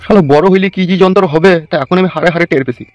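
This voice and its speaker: Man playing a rapid-fire grumbling cartoon husband. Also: high voice, highs cut off, soft background music, lightly acted. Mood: exasperated. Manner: grumbling, coarse. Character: grumbling, crude village husband and father. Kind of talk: adult comedy cartoon dialogue.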